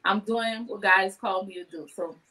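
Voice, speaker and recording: A woman in her thirties speaks as a guest on an online talk show. Her pitch is mid-range and her delivery is casual and conversational, heard over a video call. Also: brisk, pushed and bright, low-quality recording. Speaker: guest, wellness business owner. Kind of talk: casual chat with self-promotion. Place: indoor room on a video call.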